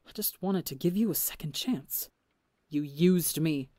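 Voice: mid-range voice, expressive, a little fast, mellow, dry recording, studio quality, lightly acted. A storybook reader voicing a bitter, resentful young male pirate crewman who lashes out with accusations in a raised voice. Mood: bitter accusing.

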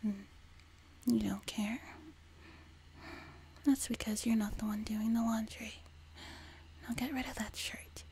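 A woman voicing a sweet, teasing, doting young demon girl in a soft, breathy whispered ASMR roleplay, close to a binaural mic. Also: on the high side, steady tone, a little hiss or hum. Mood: caring, soothing. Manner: gentle, slow, teasing, intimate. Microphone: close binaural microphone.

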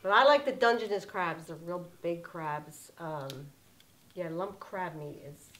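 A woman's voice: fairly low pitch, measured pace, a bit bright, a little hiss or hum. A woman in her fifties chatting casually beside her husband in a living room, prompting and correcting him as they read fan questions. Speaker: co-host and wife. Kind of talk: casual chat. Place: living room by a fireplace, sitting on the floor.

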